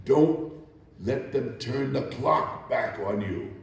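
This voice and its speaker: A man's voice: medium pitch, takes it slowly, gravelly, slight background noise. A man voicing a seasoned, streetwise woman day worker who gives blunt, laconic advice in a dramatic reading. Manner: laconic, warning, colloquial. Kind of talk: dramatic reading of archival testimony. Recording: echoey room, mic far away.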